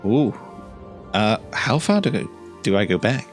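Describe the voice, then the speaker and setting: A man's voice: lowish voice, big pitch swings, measured pace, soft background music. A man giving casual gameplay commentary, musing aloud and reacting to what is on screen. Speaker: gameplay streamer.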